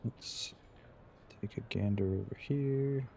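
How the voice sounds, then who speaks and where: Male streamer, low creaky voice, dark tone, dry offhand asides, light noise behind. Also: takes it slowly. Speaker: game streamer. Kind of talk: casual live gameplay commentary.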